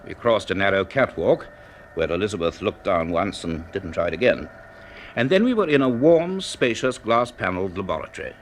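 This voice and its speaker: An actor playing a reserved male university professor in a radio drama, speaking and narrating in a formal, measured, literary way. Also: sits low, big pitch swings, slight background noise. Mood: grave, descriptive. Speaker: narrator and lead character.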